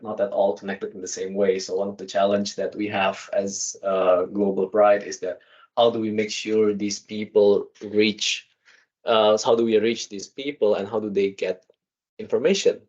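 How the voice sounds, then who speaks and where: A man in his thirties from Indonesia, a podcast guest talking casually in an office meeting room, chatty with quick bursts and restarts. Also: fairly low pitch, little pitch movement, a little mellow. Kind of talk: casual conversational interview. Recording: dull lo-fi sound.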